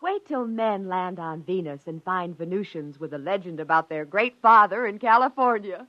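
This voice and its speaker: An actress playing a wry, teasing wife in a 1950s radio drama, on a muffled vintage recording. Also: female voice, lowish voice, expressive, normal speed, natural voice.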